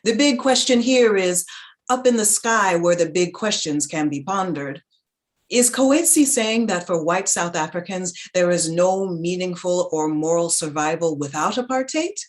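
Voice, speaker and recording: Low-pitched woman reading reflectively. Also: animated. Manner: measured, reflective, articulate. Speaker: reader in a staged reading. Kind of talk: dramatic reading of a literary essay.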